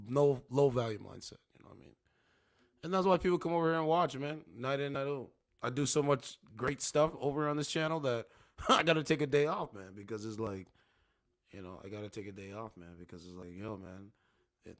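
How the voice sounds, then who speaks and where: A man's voice: medium pitch, moderate pace. Slangy young man hosting a livestream. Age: 20s. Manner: slangy, repetitive, preachy. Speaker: livestream host. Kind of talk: casual livestream chat.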